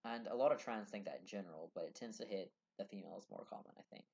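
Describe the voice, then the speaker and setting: A man's voice: fairly low pitch, fast, creaky, darkish tone, breathy edge. Earnest young man on lo-fi webcam. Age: young adult. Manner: earnest, rambling, many fillers and restarts. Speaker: vlogger. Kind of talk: casual talk to camera. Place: small room indoors. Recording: dull lo-fi webcam audio.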